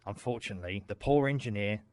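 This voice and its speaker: A man's voice: lowish voice, quick pace, a little mellow. A middle-aged man telling an anecdote in short, dramatic phrases. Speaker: radio control room staffer. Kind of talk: storytelling, recorded reminiscence.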